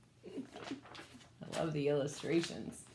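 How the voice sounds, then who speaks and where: A woman reading a picture book aloud as a teacher, pausing to explain and put questions to young children. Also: low-pitched, big pitch swings, background noise. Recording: echoey room sound.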